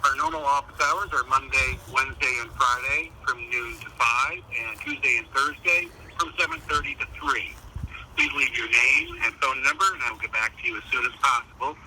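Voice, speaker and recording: A man's voice: medium pitch, expressive, moderate pace. A man reading a scripted office voicemail greeting over a telephone. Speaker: congressional office voicemail announcer. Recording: noisy telephone voicemail playback.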